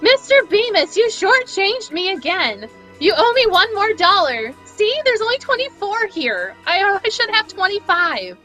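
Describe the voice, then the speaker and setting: A woman voicing a fussy, indignant female bank customer who complains in a shrill voice. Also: big pitch swings, normal speed, few pauses, pushed and bright, soft background music, full character voice, rough recording.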